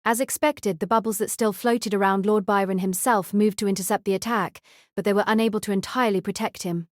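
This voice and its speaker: A woman's voice: mid-range voice, fairly even intonation, a little fast, no room sound. Woman narrating a novel, very dry sound. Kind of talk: scripted audiobook narration.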